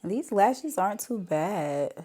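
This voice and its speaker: A woman's voice: mid-range voice, animated, slow, warm tone, breathy edge. A woman with a stuffy nose from a cold, chatting casually to viewers through a makeup tutorial. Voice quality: stuffy, congested. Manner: chatty, talks straight to viewers as "y'all". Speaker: beauty vlogger.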